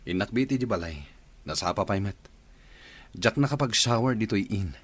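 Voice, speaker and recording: A man's voice: lowish voice, lively intonation, moderate pace, breathy edge, no room sound. A man playing a plain-spoken working husband and father in a radio drama. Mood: casual, wistful.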